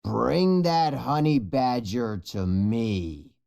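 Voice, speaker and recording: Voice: medium pitch, very slow, vocal fry, warm tone, clean tone. A storybook narrator voicing a menacing, greedy male hyena leader with a bossy, gloating growl. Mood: gloating menace.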